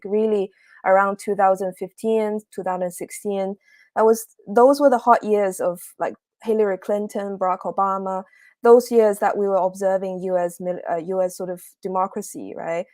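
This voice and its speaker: A woman's voice: mid-range voice, a little mellow, no echo. A young woman from China, a PhD researcher, giving a livestreamed academic talk on a video call, hesitant with many fillers and restarts. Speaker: guest speaker, PhD researcher.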